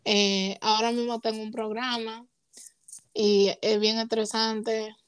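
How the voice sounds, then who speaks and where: Young woman, higher voice, casual hesitant guest, dry close mic. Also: slow, clear, resonant tone, no echo. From Puerto Rico. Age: young adult. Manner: relaxed, hesitant, mixes English words into her Spanish. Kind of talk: casual conversation.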